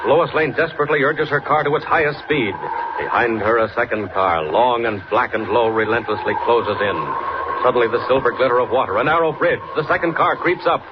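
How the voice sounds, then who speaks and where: An actor playing a grave, suspense-building narrator of a 1940s radio serial. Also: male voice, medium pitch, a little fast, natural voice. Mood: suspenseful. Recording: very noisy, dull 1940s radio recording.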